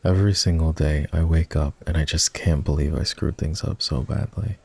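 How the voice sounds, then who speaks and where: A man playing an ex-boyfriend turned boss in an intimate roleplay ASMR, talking in a halting, conversational way. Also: extremely low pitch, gravelly, a little mellow, slightly rough, exaggerated voice. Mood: vulnerable, regretful. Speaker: voice actor.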